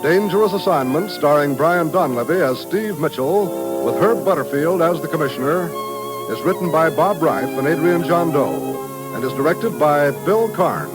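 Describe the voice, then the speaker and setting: A man's voice: fairly high pitch, expressive, moderate pace, low-quality recording. An American man announcing on 1950s radio, reading a script in a polished, declamatory way. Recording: vintage radio broadcast, faint music.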